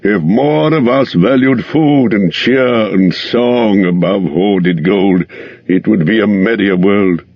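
Man playing a dying dwarf king, real slow and solemn, husky, dull lo-fi sound. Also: fairly low pitch, dry recording. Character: dying male dwarf king, proud and remorseful. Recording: dull lo-fi recording.

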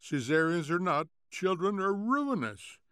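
A man's voice: high voice, sing-song, a little slow, fairly bright tone, clean tone, dry recording, clean studio sound, voice pushed up, full character voice. A man voicing a brash, irritable housewife with a tart, dismissive manner in an audiobook. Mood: scornful. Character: brash, callous, irritable housewife and mother-hater. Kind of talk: audiobook character voice.

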